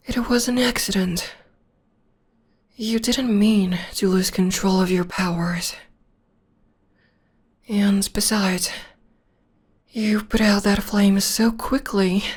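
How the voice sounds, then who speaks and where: A soft-spoken young witch girlfriend, played by a woman murmurs close to the ear, her breathy voice unhurried and slow. She drifts between hushed whispers and quiet speech, tender and reassuring. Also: mid-range voice. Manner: soft, often whispered, soothing. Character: gentle young witch girlfriend comforting her demon partner. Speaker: voice actress. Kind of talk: ASMR audio roleplay.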